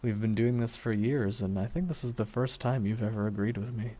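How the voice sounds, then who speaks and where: Man acting a low, mellow, grieving supervillain. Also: close to an everyday voice. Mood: wry, mocking. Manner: slow brooding delivery with deliberate pauses. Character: grieving vengeful male supervillain, weary, menacing, softly bitter. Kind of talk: dramatic ASMR roleplay monologue. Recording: steady noise under voice, rain ambience.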